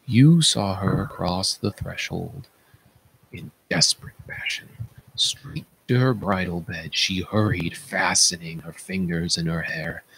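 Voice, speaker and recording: A man voicing a solemn palace attendant who recounts horrors in a declamatory stage-tragedy reading, with dramatic pauses. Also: low voice, vocal fry, fairly bright tone, breathy edge, pitched below the performer's own voice, heavily acted, poor audio. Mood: somber.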